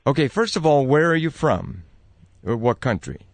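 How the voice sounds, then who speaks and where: A male host conducts a conversational interview with animated, widely swinging pitch. His voice sits in a middling register and sounds close and dry, with hardly any room around it. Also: normal speed, vocal fry, no echo.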